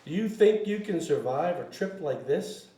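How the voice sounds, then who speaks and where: Man acting a high-pitched needling fishing buddy. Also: animated, normal speed, dark tone, lightly acted. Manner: needling, mock-impressed. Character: nosy needling fishing buddy, mocking jokester. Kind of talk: screenplay table read. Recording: echoey room.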